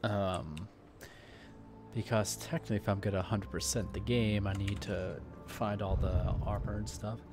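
A man's voice: fairly low pitch, steady tone, measured pace. A man giving casual, slangy, laid-back commentary as he plays a video game. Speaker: gaming let's player. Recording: game music behind the voice. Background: game music.

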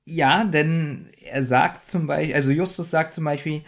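A man chatting on a podcast about an old radio play, his voice slightly high with a strong gravelly fry. He laughs easily and talks in halting bursts. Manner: giggly, halting with fillers. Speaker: podcast co-host. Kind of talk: casual chat reviewing a radio play.

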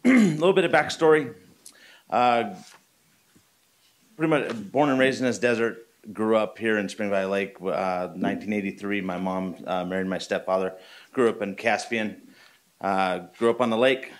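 A middle-aged man telling his backstory at a podium microphone in a meeting room, informal and full of filler uhs. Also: on the low side. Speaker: candidate for community association board. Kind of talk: public comment speech.

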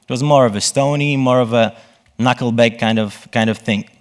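A man gives a conference presentation from a stage, often pausing and rephrasing himself. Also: mid-range voice. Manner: halting, self-correcting delivery. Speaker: conference speaker.

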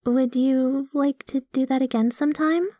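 A woman's voice: high-pitched, steady tone, normal speed, dark tone, no room sound. Woman acting a sweet, obsessive yandere girlfriend, close and dry. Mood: tender, dreamy. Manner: affectionate, giggly, playfully possessive. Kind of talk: ASMR roleplay, scripted one-sided conversation.

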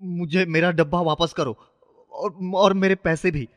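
A man's voice: sits high, steady tone, a little slow. A man voicing a poor, earnest young boy, a devoted son, in a cartoon story. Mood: pleading. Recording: muffled, with long reverb.

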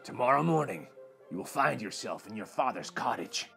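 A man voicing a gentle, lovesick monster for a storybook, speaking in a grave, pleading manner. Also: mid-range voice, big pitch swings, moderate pace, vocal fry, faint music behind, voice pushed down, heavily acted. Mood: sorrowful. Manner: grave, pleading, sighing delivery. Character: gentle, sorrowful male beast in love, self-loathing monster.

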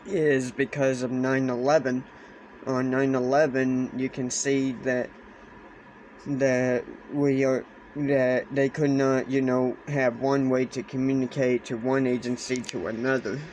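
Slow, halting man explaining a gadget. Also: medium pitch, low-quality recording. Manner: halting, repeats phrases. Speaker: hobbyist presenter. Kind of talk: casual explainer demonstration. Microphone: handheld camera or phone. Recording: clear steady background noise, handheld camera.

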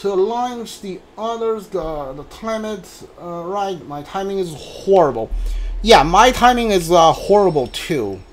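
A man with a high voice narrates his gameplay live in a casual style. He speaks very slowly and haltingly, working out his choices aloud as he goes. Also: expressive, a little hiss or hum. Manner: hesitant, halting, thinking aloud. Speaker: game streamer.

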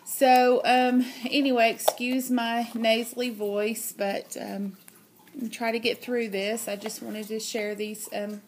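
A woman with a stuffy, nasal voice from a cold talks slowly and gently, filling pauses with many ums. She speaks to a camera mic on a table at home, with a faint hiss behind her. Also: on the high side, little pitch movement. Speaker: craft vlogger. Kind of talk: casual show-and-tell.

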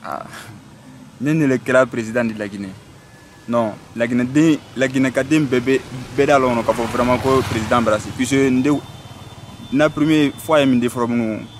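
A young man from Guinea talks very close to the camera out among the trees. His pitch is medium, with faint outdoor sound behind him. He hesitates and restarts as he goes. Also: slight background noise. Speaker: interviewee. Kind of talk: vox pop answer. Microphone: camera very close to the face.

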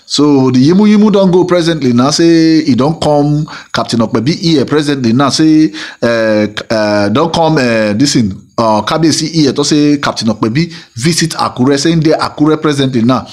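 A man's voice: on the high side, moderate pace, darkish tone. A middle-aged man speaking with a Nigerian Pidgin accent, whose first language is Igbo, delivering a rambling celebrity gossip monologue full of fillers and repeated phrases. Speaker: gossip channel host.

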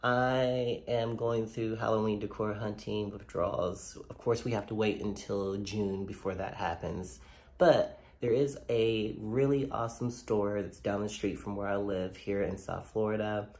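A man's voice: fairly low pitch, fairly even intonation, measured pace, darkish tone. A man in his thirties, a little nasal from a cold, chatting enthusiastically to viewers in a selfie-style vlog. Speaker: vlogger. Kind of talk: casual vlog talk to camera. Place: bedroom indoors, later outdoors on a sidewalk. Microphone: phone at arm's length.

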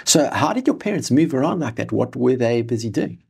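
A man in his thirties hosts with an animated, swinging pitch and a slightly husky tone. He sounds eager and reactive, chiming in often with short exclamations. Recorded seated on a table microphone. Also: brisk. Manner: eager, interjects "wow" often. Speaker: podcast host. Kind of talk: enthusiastic interview hosting. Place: ornate room, seated interview. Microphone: studio microphone on table.

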